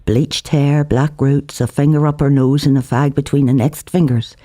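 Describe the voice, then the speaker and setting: Mellow dark tone, author reading, literary first-person narration, theatrical, colloquial. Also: medium pitch, fairly even intonation, moderate pace. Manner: theatrical, shifts voice for dialogue. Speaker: author reading own novel aloud.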